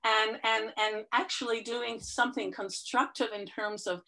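Woman guest, bright and lively, a touch congested, an eager storyteller. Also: fairly high pitch, measured pace, gravelly. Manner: chatty, enthusiastic storyteller with frequent fillers. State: slightly stuffy from a cold. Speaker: interview guest, fitness client. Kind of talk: casual conversational chat.

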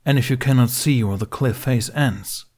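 A man voicing a stern senior Jedi master who asks curt, probing questions in a calm but hard way. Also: medium pitch, moderate pace, lightly acted. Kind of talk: audiobook character voice.

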